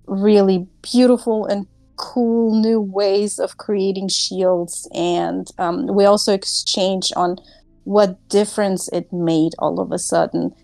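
A woman's voice: medium pitch, a little mellow, no echo. Brief: woman, class host on a video call, dry close sound, easy chatter with giggles. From Germany. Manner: chatty, giggly, many fillers. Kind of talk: casual group call chat.

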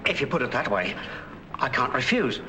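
A cheerful working-class cab driver in middle age, folksy and ungrammatical. His voice sits at an ordinary pitch for a man. A 1940s film soundtrack adds steady hiss. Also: normal speed, poor audio. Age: middle-aged. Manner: folksy, plain-spoken, ungrammatical.

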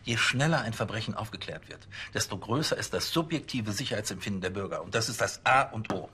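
An actor playing a fussy, eager-to-please police station chief, stammering and obsequious, in a TV comedy crime series. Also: male voice, lowish voice, lively intonation, fast, sharp tone, a little hiss or hum. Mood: self-important.

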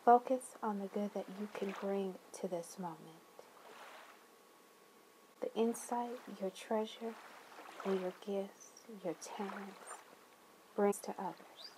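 A woman leading a guided meditation, speaking gently in deliberate phrases with long pauses and soothing affirmations. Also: mid-range voice, steady tone, noisy background.

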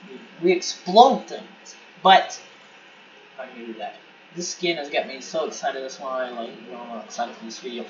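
A man giving casual gaming commentary, excitable and rambling, often repeating words and restarting his sentences. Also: medium pitch, moderate pace, lo-fi sound. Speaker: gameplay commentator. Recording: distant mic with noisy background.

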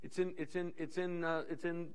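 A middle-aged man preaching a sermon on a church stage, animated, pacing with many restarts. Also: fairly high pitch, moderate pace, clean tone. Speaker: pastor. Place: church stage in a large dim hall.

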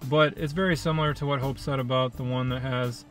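A man's voice: mid-range voice, steady tone, a little fast, fairly bright tone, soft background music. A young man co-hosting a food vlog from a parked car, easygoing with dry little jokes. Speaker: food vlogger co-host. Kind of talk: casual food review chat. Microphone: camera on dashboard.

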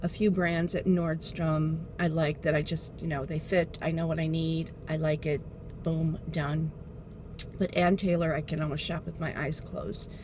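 A woman's voice: sits low, flat delivery, normal speed. A middle-aged woman chatting casually while she drives, rambling and losing her train of thought as she goes. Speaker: beauty vlogger. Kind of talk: casual chat. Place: inside a car while driving. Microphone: dashboard camera. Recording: car engine and road noise.

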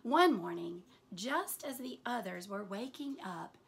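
A woman in her forties reads a picture book aloud as a teacher would, in an animated, deliberate way with hushed asides. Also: mid-range voice, slightly rough. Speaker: teacher reading aloud to children. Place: small furnished room indoors.

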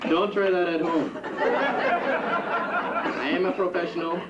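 A young man doing a stand-up comedy set in a club, with a rambling, halting delivery full of restarts. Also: high voice, little pitch movement, far from the mic, a loud crowd, very noisy. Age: young adult. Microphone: handheld stage mic on a stand. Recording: roomy, dull 1991 camcorder tape from the audience. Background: audience laughter.

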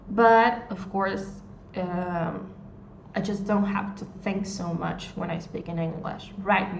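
A woman in her twenties from Argentina, a native Spanish speaker with an Argentine accent, answering a question on a live lesson and searching for words in English. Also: medium pitch. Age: 20s. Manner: unhurried, searching for words with many ums and restarts. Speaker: Spanish tutor. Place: small room indoors. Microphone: phone at arm's length. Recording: echoey, dull phone audio with faint noise.

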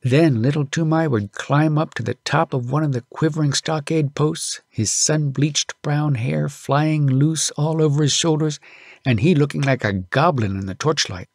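A man narrating an audiobook as an expressive storyteller. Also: fairly high pitch, moderate pace, no echo, clean studio sound.

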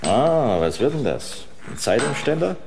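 An actor playing a brusque, teasing prison guard in a German radio crime drama. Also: male voice, mid-range voice, sing-song, measured pace, noisy background.

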